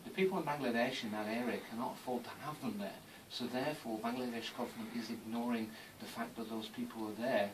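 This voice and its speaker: A man's voice: medium pitch, fairly even intonation, fast talker, few pauses. A man speaking as a radio interview guest, explaining emphatically and repeating phrases as he goes. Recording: distant mic, clearly noisy background.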